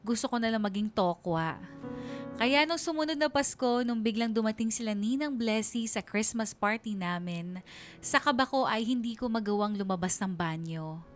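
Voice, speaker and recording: A woman's voice: fairly high pitch, normal speed, soft background music, close to an everyday voice. A woman playing a bashful young Filipina who narrates her memories in a confiding, self-mocking way for a radio drama. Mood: embarrassed.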